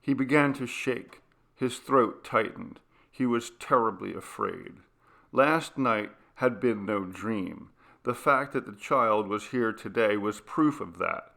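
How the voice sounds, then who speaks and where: A male audiobook narrator reads a dramatic horror story. His voice has a gravelly creak and a sharp, forceful edge. The phrasing is deliberate and suspenseful, at a middling pitch.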